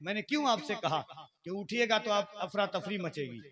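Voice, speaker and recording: A man's voice: high-pitched, lively intonation, normal speed, crowd noise. A man addressing a religious gathering from the stage in a declamatory, admonishing style. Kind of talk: stage oratory, announcing and admonishing.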